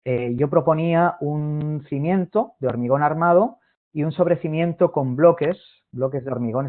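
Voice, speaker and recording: Hesitant man on a tinny line. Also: medium pitch. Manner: hesitant, many fillers and restarts. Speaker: presenter. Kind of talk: online presentation.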